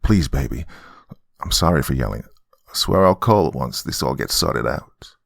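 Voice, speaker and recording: A man's voice: rumbling low, lively intonation, moderate pace, vocal fry, no echo, studio quality, pitched below the performer's own voice, exaggerated voice. A man playing a desperate, hot-tempered father who pleads and bullies, in a dramatic audio story. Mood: stern, agitated.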